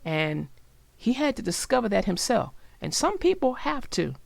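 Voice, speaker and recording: A woman reading a children's story aloud and then talking gently about its lesson. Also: fairly high pitch, very animated, moderate pace, vocal fry, no echo. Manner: gentle, reflective, explains morals.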